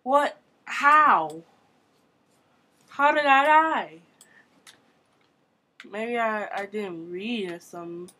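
Slow-talking woman narrating her gameplay. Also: a little high, animated, sharp tone. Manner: chatty, thinking aloud, quick exclamations. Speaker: gamer recording her play. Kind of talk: casual gameplay commentary.

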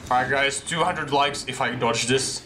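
A man's voice: mid-range voice, moderate pace, over music. A young man casually commenting on a horror game he is playing, into a headset mic in a small room, often yelping at surprises. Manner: excitable, yelps and laughs at surprises. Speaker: gameplay streamer.

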